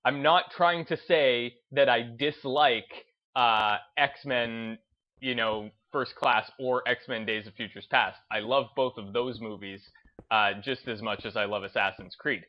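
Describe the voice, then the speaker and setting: Male video host, unhurried and halting, rambling off-the-cuff commentary, slightly dull lo-fi mic. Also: mid-range voice. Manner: hesitant, rambling, repeats and restarts phrases. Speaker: host of a gaming video channel. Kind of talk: unscripted opinion commentary.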